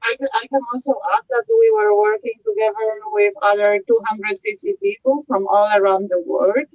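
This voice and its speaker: A woman's voice: a little high, sing-song, normal speed, darkish tone, dry recording. Young woman, dry muffled sound. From Poland. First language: Polish. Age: young adult. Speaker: guest, early career researcher. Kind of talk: informal panel talk. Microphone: video call. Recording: dull, lo-fi video call audio.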